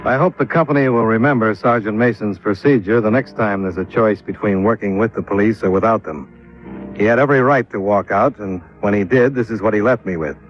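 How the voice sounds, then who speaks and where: Low-voiced hard-boiled radio investigator, acted by a man. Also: a little fast, lightly acted. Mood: wry, guarded. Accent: American. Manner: dry, clipped, hard-boiled. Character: world-weary, wry freelance insurance investigator, adult man. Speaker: lead actor and narrator of a radio detective series. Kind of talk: old-time American radio drama acting, first-person narration. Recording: dull vintage radio recording, background music.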